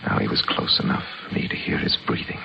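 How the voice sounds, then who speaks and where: An actor playing a grim, determined chess master, delivered with earnest intensity in old-time radio drama style. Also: male voice, fast talker, close to an everyday voice. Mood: grave, narrating. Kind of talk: old-time radio drama acting and first-person narration. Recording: muffled old radio recording.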